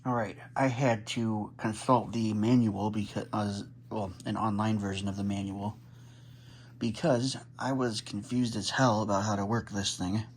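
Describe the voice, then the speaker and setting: A man's voice: fairly low pitch, flat delivery, a little slow, slight background noise. A man casually talking through unboxing and setting up a radio while filming on a handheld phone, muttering as he works. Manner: chatty, mutters while handling gear. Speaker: radio hobbyist vlogger. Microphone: handheld phone camera.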